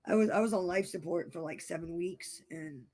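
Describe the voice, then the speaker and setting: A man with a raspy, damaged voice that is going hoarse, chatting frankly over a video call and repeating himself as he rambles. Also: high voice, brisk, darkish tone. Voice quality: raspy, gravelly voice from vocal cord damage. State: losing his voice, hoarse. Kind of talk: casual late-night chat.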